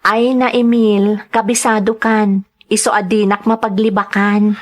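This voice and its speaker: A woman's voice: medium pitch, flat delivery, measured pace, natural voice. A young woman playing an earnest daughter who tells her life story in an Ilocano radio-style drama, in a conversational manner. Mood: hesitant, teasing.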